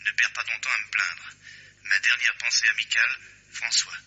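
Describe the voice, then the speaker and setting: Radio mystery role: nervous, hesitant man seeking police help, medium pitch, hissy noisy sound. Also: level tone, moderate pace, sharp tone, lo-fi sound. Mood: anxious, subdued. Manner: hesitant, worried. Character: anxious man pleading with police about his friend. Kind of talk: French radio mystery drama acting.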